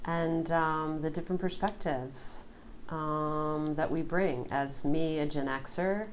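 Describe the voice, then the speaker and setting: Lower-voiced woman in her forties. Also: fairly even intonation, takes it slowly, a little hiss or hum. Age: 40s. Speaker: podcast co-host. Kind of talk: casual chat.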